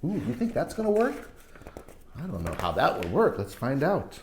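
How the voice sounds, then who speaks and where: A woman reading a children's picture book aloud as a storytime teacher, expressive and dramatic, with asides to young listeners. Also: low-pitched, normal speed, echoey room, slight background noise.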